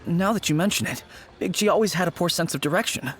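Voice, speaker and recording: An actor playing a young man fondly recalling his childhood friend, warm-hearted and reminiscing. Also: high voice, fast. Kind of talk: video game voice acting.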